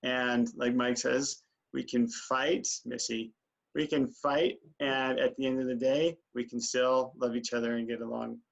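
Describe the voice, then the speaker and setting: Guy, 40s, mid-pitched, laid-back and jokey. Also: level tone, unhurried, vocal fry, dull audio. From Idaho, USA. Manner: joking, easygoing, some fillers. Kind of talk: casual team introduction.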